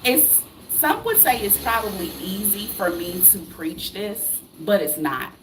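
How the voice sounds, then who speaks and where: A woman's voice: medium pitch, lively intonation, measured pace, noisy background. A woman in her late thirties, from the United States, giving a blunt, preachy motivational talk to camera, full of rhetorical questions and casual swearing. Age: 30s. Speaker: vlogger.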